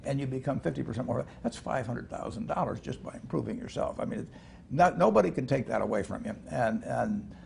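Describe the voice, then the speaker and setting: An older man talking as an interview guest, halting with many restarts and chuckles, in a studio set like a living room. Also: medium pitch, animated, creaky, darkish tone, slight background noise. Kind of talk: casual interview talk.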